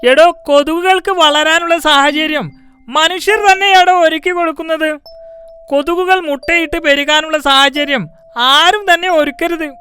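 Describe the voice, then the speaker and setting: A woman's voice: sits high, fairly even intonation, normal speed, bright, forceful tone. A woman voicing a proud male shopkeeper and father in a cartoon, who boasts, lectures and scolds. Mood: scolding. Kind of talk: cartoon dialogue. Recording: music behind the voice.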